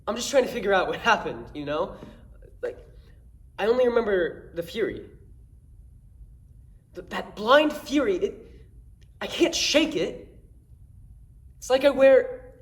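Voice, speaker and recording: A man with an American accent playing a troubled, angry homeless young man in a halting, colloquial stage monologue. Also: high voice, very animated, pitched above the performer's own voice. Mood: agitated, haunted, then furious.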